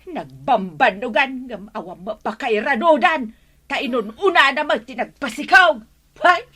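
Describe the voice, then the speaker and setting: A woman's voice: high voice, expressive, a little slow, pushed and bright, no room sound, full character voice. An actress playing a harsh, nagging mother who belittles her daughter in an Ilocano radio drama. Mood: scornful. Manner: nagging, exclaiming.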